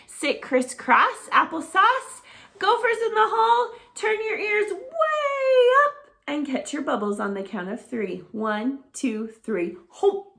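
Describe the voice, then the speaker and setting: A middle-aged woman teaching preschoolers, talking to small children in an animated, sing-song teacher voice. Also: very high voice, slow pace, creaky. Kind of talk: children's circle-time lesson to camera.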